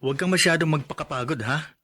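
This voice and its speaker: An actor playing a caring, protective young husband in a radio drama. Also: male voice, fairly high pitch, fast, bright, forceful tone. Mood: caring, firm.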